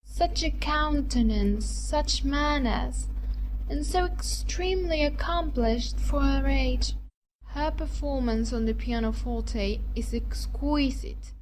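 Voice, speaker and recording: Woman doing snooty gushing young society lady, high and slow, audiobook drama, noisy recording. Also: a little mellow.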